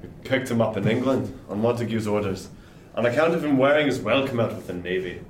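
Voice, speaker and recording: Male actor as bantering old-time seaman, mid pitch, blunt, jovial, echoey room, slightly off mic. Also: brisk, recorded from a distance, slight background noise, lightly acted. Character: blunt, gruffly jovial male sailor in 1717. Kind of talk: period radio drama acting.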